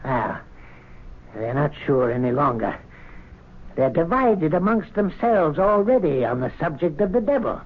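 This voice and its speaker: An actor playing a sly, resentful older club servant in an old radio drama, grumbling with scornful glee. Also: male voice, a little high, sing-song, a little slow, breathy edge, heavily acted. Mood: bitter, scornful. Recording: thin, narrow-band radio drama recording.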